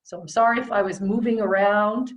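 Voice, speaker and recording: A middle-aged woman teacher talking loudly and chattily through a face mask, walking parents around her small classroom on a handheld camera. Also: medium pitch, fairly even intonation, fairly quick, poor audio. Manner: loud, chatty, rambling.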